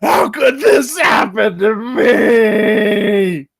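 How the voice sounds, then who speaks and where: A man's voice: high voice, expressive, drawn-out words, gravelly, no echo, raised voice, pitched above the performer's own voice, heavily acted. A man voicing a jokey, whiny, melodramatic Bigfoot in a comedy screenplay read. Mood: wailing, panicked. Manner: theatrical, wailing when upset.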